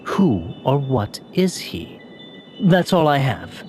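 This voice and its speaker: An actor playing a thoughtful young man in a video game, hesitant and deliberate, full of doubt. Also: mid-range voice, sing-song, a little mellow, faint music behind. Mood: pondering.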